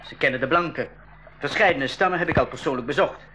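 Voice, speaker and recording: A man playing a practical, cautious jungle guide in a radio drama, instructing in a deliberate way. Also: fairly high pitch, pushed and bright, slight background noise. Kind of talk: 1980s school radio drama acting.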